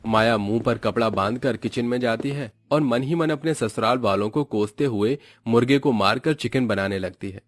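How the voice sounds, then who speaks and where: A man's voice: mid-range voice, moderate pace, hardly any pauses, dry recording. A man with an Indian accent giving scripted narration for a cartoon story.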